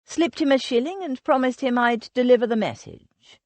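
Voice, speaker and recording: A woman reading an audiobook voices a flirtatious Regency gentleman in a lowered, smooth, courtly voice. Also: moderate pace, no room sound.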